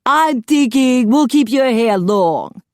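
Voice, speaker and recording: A woman's voice: sits high, lively intonation, slow, a little mellow, dry recording, clean studio sound, voice pushed up. A woman voicing a flamboyant, fussy young male hair stylist in an audiobook, camp, dramatic and effusive. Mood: appraising.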